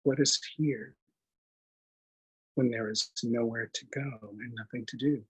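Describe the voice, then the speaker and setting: A man leading a guided meditation in a gentle, unhurried manner, leaving long pauses between short phrases. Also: mid-range voice, fairly even intonation, husky, low-quality recording. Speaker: meditation instructor.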